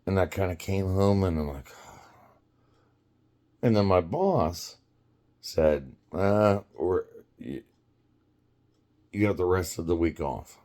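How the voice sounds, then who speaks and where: A man in his fifties telling a casual story in a rambling, halting way, often laughing as he talks. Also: low voice, lively intonation, dark tone. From United States. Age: 50s.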